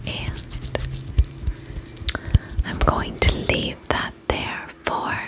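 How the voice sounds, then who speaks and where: A woman whispering in an ASMR role-play as a spa attendant, gentle, soothing and reassuring, speaking in short phrases with pauses. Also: faint music behind. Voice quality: unvoiced whisper.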